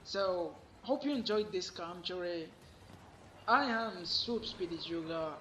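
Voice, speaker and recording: A man giving an emphatic, complaining gaming commentary while losing his voice, sounding hoarse. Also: high-pitched, measured pace, sharp tone, reverberant, slight background noise, poor audio. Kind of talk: solo gaming rant.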